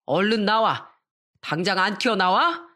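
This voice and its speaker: A woman's voice: medium pitch, lively intonation, a little slow. A woman voicing a drunk, abusive middle-aged father who bullies his family. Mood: drunk yelling. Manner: bullying, yelling.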